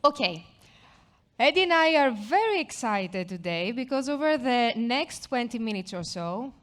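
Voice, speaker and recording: Woman, Greek accent, higher voice, conference presenter, chatty, fillers and restarts. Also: big pitch swings, normal speed. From Greece. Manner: chatty, self-deprecating, with frequent fillers and restarts. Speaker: product marketing manager presenting. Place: conference stage.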